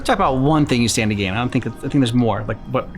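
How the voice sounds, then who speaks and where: A man's voice: mid-range voice, very fast, noisy background. A man giving casual, conversational commentary as the host of a game reaction video. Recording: music from the game behind the voice.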